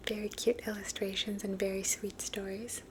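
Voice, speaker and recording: A woman reading children's stories aloud in a soft, unvoiced ASMR whisper. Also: mid-range voice, little pitch movement, moderate pace, noisy background. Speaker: storybook reader.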